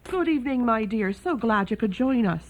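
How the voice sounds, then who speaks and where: A woman's voice: a little high, animated, moderate pace. An actress playing a kindly, chatty, fussing boarding house landlady in a period radio drama. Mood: brisk hosting. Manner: fussing, hospitable, gossipy. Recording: thin phone-like band, faint music behind.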